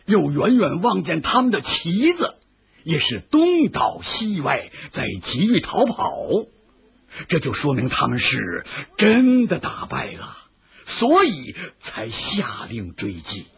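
A man's voice: on the high side, creaky, husky, heavily acted. An actor playing a shrewd male military strategist who gives patient, lecturing explanations in a radio drama. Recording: tinny phone-like lo-fi audio.

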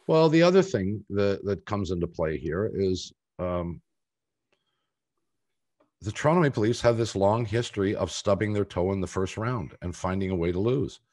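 An older man with a deep, low voice hosts a sports podcast. He speaks deliberately and haltingly, leaving pointed pauses as he lays out his opinions. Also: animated, a little mellow. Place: home room on a video call.

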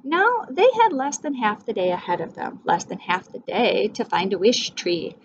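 A middle-aged woman teacher reading a storybook aloud to young children, expressive and addressing them directly. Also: mid-range voice, moderate pace. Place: small indoor room with whiteboard. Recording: dull sound, faint background noise.

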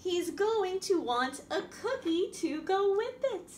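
A middle-aged woman reading a picture book aloud as a children's storytime host, expressive and sing-song, talking directly to young children. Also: very high-pitched, slow. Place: indoor room at a wooden table by a window. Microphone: camera across the table. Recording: echoey room with background noise.

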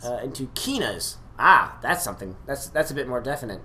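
A man hosting a casual let's-play, reading game text aloud and commenting excitably. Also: mid-range voice, brisk, a little hiss or hum. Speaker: host of a gaming video.

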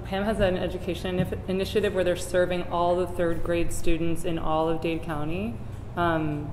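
A woman moderating a roundtable discussion in a brisk, organizing manner. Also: lowish voice, a little hiss or hum. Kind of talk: panel moderation. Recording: echoey hall.

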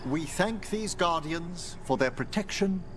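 An actor voicing a gentle, wistful older necromancer scholar in a video game, speaking formally and courteously. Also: male voice, fairly high pitch, expressive, unhurried, background noise. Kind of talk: video game dialogue acting.

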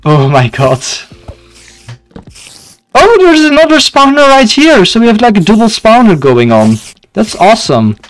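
A man's voice: high-pitched, big pitch swings, measured pace, pauses often, faint music behind. A young man from Belgium with a Dutch accent, casually commenting on a game for his live chat and often tripping over his words. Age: young adult. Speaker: video game livestreamer.

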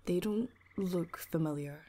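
A woman playing a concerned, soft-hearted adventurer in an audio drama, with an earnest, questioning manner. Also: fairly low pitch, unhurried. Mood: puzzled, calm. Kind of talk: audio roleplay drama acting.

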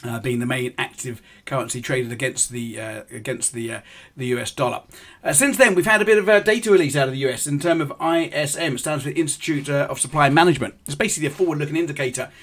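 A middle-aged man gives live commentary on currency markets to his stream viewers. He explains things step by step, pausing often on ums and starting sentences over. He sounds like he is in a small indoor room, speaking into a desk microphone. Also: medium pitch, a bit bright. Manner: explanatory, halting with many ums and restarts. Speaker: forex trading host.

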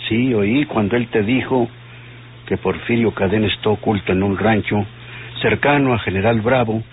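A man's voice: fairly low pitch, moderate pace, close to an everyday voice. Older Mexican inspector and anxious father acted by a man, vintage radio serial, thin noisy sound. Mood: troubled, explaining. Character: worried, nervous older father, a police inspector. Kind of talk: vintage radio drama acting. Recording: muffled, hissy old radio recording.

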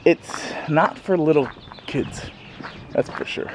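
A man's voice: fairly high pitch, slow pace. A man in his thirties casually rambling to a handheld camera in a sunny backyard, with lots of fillers and chuckles. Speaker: vlogger. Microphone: handheld camera at arm's length. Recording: faint outdoor background noise.

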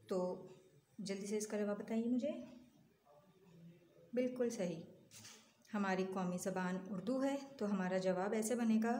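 A woman leads a children's lesson at a steady, patient pace. Her voice sits at a middle pitch and bounces around a small echoey room. A faint hum of room noise stays underneath. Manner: patient, question-and-answer teaching. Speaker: teacher. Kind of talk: online lesson for young children. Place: room at a desk, voice over an open textbook.

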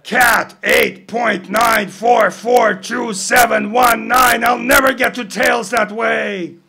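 Shouty pirate captain, all bluster, man doing it. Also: sits high, a little fast, vocal fry, slightly rough, voice pushed up, full character voice. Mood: shouting. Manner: shouting, exasperated.

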